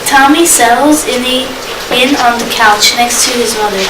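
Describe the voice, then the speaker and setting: Woman student narrator, higher voice, scripted reading, echoey distant sound. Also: moderate pace. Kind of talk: scripted narration, read aloud. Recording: very noisy echoey room, distant mic.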